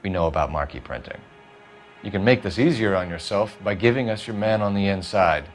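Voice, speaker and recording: Low-voiced, stern police detective. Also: male voice, expressive, a little fast, faint music behind. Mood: cold, pressing. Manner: commanding, clipped interrogation. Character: stern, driven male police detective, hard-nosed and controlled. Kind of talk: cinematic video game acting.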